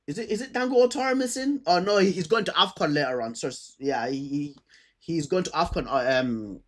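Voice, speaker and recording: Guy with a stammer talking football off the cuff, slightly high voice, slangy, repeats himself a lot. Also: a little fast. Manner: slangy, repeats words and phrases, thinking aloud. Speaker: football fan host previewing fixtures. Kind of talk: casual unscripted football preview chat.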